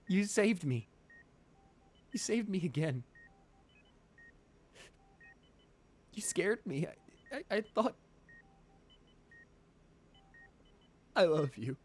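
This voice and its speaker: A man's voice: on the high side, breathy edge, heavily acted. Man acting an anguished, devoted ruthless lover. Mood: relieved, tender. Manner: pleading, intimate, halting and emotional. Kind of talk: romantic ASMR roleplay audio drama.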